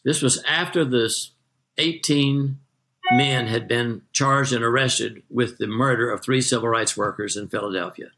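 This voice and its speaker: An older American man from Texas telling detailed anecdotes as an interview guest, in a measured raconteur's manner. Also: mid-range voice, sharp tone. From Texas, United States. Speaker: interview guest, retired magazine journalist.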